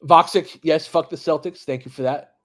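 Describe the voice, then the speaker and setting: A man's voice: fairly high pitch, fairly even intonation, moderate pace, fairly bright tone. A man hosting a basketball live stream, mixing casual play-by-play with conversational, opinionated, sweary fan commentary. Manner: partisan fan reacting to plays and chat. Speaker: former high school basketball coach.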